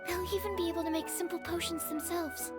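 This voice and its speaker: A woman's voice: very high-pitched, steady tone, fairly quick, over loud music. An actress playing an earnest, polite young woman alchemy scholar in a video game cutscene. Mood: earnest, impressed.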